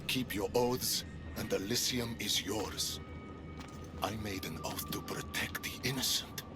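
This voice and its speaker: An actor playing a haunted, guilt-ridden Spartan soldier in a video game, speaking in a heavy, halting way. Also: male voice, on the low side, loud music behind the voice, lightly acted. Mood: anguished. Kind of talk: video game cutscene acting.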